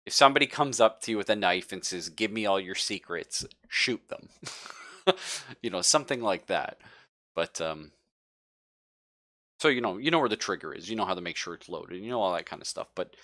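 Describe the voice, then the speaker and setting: A man's voice: lowish voice, lively intonation, moderate pace, bright, forceful tone. A man narrating a tabletop roleplaying game as game master, casual and joking as he improvises.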